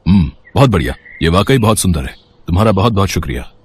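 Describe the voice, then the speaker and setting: A man voicing a rich, self-assured businessman in a storybook tale, calm and courteous, with a matter-of-fact, authoritative manner. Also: low voice, fast talker, husky, voice pushed down, heavily acted. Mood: pleased, gracious. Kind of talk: Hindi storybook character dialogue.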